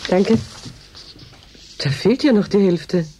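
An actress playing a weary but stern middle-aged doctor who leads a station in a German radio drama, with a husky, mellow voice. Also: female voice, mid-range voice, expressive, takes it slowly, slight background noise.

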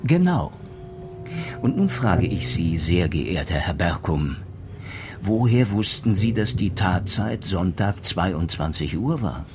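An actor playing a methodical retired police detective in a crime radio play, deliberate and self-assured, reasoning through rhetorical questions. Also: male voice, sits low, big pitch swings, over music, exaggerated voice. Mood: probing, methodical. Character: retired male police detective, methodical, probing, theatrically sure of himself.

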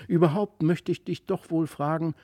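A man's voice: on the high side, steady tone, moderate pace, mellow, no echo, clean studio sound, voice pushed up, natural voice. A man voicing an eager, impetuous, curious young boy in a storybook reading. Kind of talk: literary audiobook reading.

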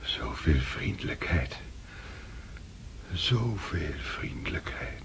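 A man's voice: low voice, big pitch swings, slow pace, heavy background noise, full character voice. An actor playing a frail, remorseful old count on his deathbed, speaking in weak whispers. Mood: feeble remorse. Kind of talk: radio play acting.

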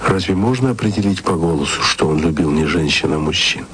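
An actor playing a tender, literate lover in an intimate radio-play monologue, with theatrical, drawn-out phrasing. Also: male voice, low-pitched, expressive, fairly bright tone, a little hiss or hum. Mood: musing, wry. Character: tender, musing literate lover speaking to his beloved.

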